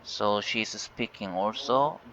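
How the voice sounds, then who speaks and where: A Korean-native man speaking English in a halting way, with many fillers and restarts, walking viewers through a tech issue. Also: lowish voice, crowd noise. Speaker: tech channel host. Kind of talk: casual screen-recorded walkthrough. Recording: dull lo-fi voice with faint hiss.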